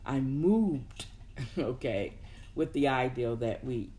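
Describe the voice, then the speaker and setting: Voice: medium pitch, expressive, slow, warm tone, a little hiss or hum. A host speaks in a middle register, giving a casual motivational talk. The delivery is chatty and encouraging, and it rambles into asides as if talking straight to regular listeners.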